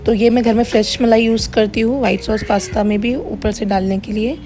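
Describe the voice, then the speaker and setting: Slightly hoarse, mellow woman vlogger. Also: a little high, steady tone, brisk. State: mildly unwell, voice a little hoarse. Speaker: home cook running a cloud kitchen, vlogger. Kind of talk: casual vlog voice-over narration. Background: background music.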